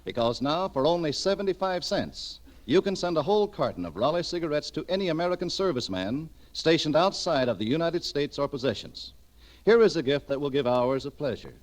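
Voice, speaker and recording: A man's voice: on the high side, lively intonation, brisk. A man announcing a sponsor's message in a polished, declamatory 1940s radio sales style. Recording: vintage 1940s radio broadcast.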